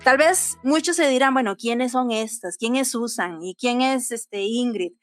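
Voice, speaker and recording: A woman's voice: a little high, a little slow, soft background music. Earnest Costa Rican woman co-host. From Costa Rica. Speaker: podcast co-host. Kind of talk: casual podcast chat.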